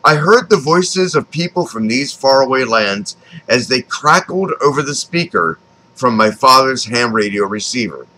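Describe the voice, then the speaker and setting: An American man in his fifties narrates his own life story from a script. His voice is bright and forward, with lively rises and falls in pitch. He phrases deliberately and pauses often. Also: rough recording. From Texas, United States. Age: 50s. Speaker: author reading his own memoir. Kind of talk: scripted autobiographical narration, read aloud.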